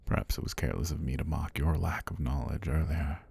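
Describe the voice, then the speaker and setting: Casting: male actor as ancient giant snake deity for fantasy ASMR; very low, gravelly, unhurried, sinister and faintly amused. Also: a little hiss or hum. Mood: languid, calm. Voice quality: husky, breathy, gravelly. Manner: slow, deliberate, formal, softly menacing.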